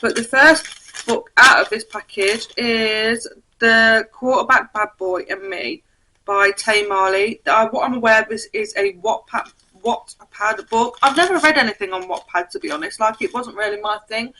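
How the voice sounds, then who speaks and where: A young woman talks casually through her book haul in a bright, forward voice. She is croaky from a cold and sometimes stumbles over names as she reads. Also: a little high, normal speed, a little hiss or hum, rough recording. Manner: chatty, reads blurbs aloud, stumbles on names. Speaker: book vlogger.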